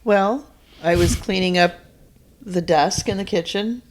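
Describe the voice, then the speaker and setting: Middle-aged woman, medium pitch, podcast guest, casual rambling storytelling, self-quoting. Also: a little slow, slight background noise, poor audio. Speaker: podcast guest, the hosts' mother. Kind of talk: casual chat, rambling anecdote.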